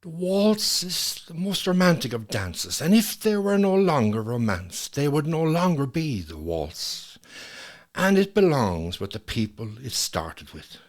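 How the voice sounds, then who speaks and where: A man's voice: fairly high pitch, big pitch swings. An actor playing a lonely, nostalgic old Irish man in a reflective, lyrical stage monologue. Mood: wistful, enchanted. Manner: wistful, lyrical, measured with lingering pauses. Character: lonely, nostalgic old widower, quietly ruminating. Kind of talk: dramatic monologue, scripted first-person storytelling.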